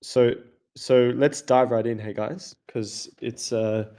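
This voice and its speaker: Mellow-voiced man leading a casual call. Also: mid-range voice, steady tone, slow pace. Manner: chatty, loose, with many "like" and "kind of". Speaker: host, ads consultant. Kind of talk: casual screen-share teardown. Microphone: video call.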